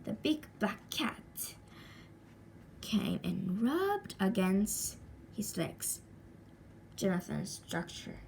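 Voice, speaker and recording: A woman reading a children's story aloud expressively, with deliberate dramatic pauses and stretched words. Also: medium pitch, big pitch swings, creaky, a little mellow. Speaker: storybook reader. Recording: faint background noise.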